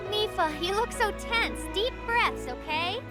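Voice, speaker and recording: A woman playing a perky, bossy little researcher in a video game, playfully and briskly directing others. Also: very high-pitched, animated, loud music behind the voice. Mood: cheerful, bossy. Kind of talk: video game cutscene acting.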